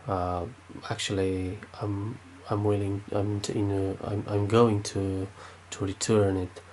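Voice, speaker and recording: A man's voice: lowish voice, flat delivery, slow, a little mellow, slight background noise, low-quality recording. A man with a Romanian accent, speaking unscripted with many fillers and restarts while demonstrating something at his desk. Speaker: tech video maker.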